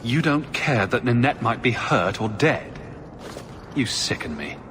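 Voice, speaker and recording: A man's voice: mid-range voice, expressive, measured pace, big-room sound, background noise, close to an everyday voice. A man playing a blunt, sardonic adventurer hero in a video game, dry and probing in his questions. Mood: indignant. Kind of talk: dramatic video game dialogue.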